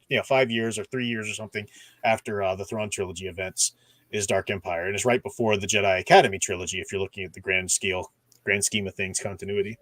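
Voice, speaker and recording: A man's voice: on the low side, no room sound. A man hosting a livestream, casually discussing books and reading out viewer comments with an enthusiastic fan's rambling asides. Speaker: livestream host.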